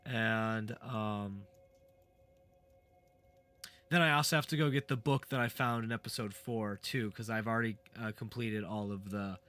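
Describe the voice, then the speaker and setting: A man who is sick and a bit raspy, hosting a game playthrough in casual, rambling commentary with many fillers and restarts. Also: lowish voice, fairly even intonation, a little slow, bright, forceful tone, soft background music. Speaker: gaming channel host. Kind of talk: casual let's play commentary.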